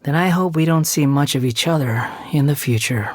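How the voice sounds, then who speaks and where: A man's voice: a little high, moderate pace, lightly acted. A man voicing a proud, bitter, youthful puppet antihero in an anime game, sardonic and aloof. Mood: dry. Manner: sardonic, clipped, haughty. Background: soft game music.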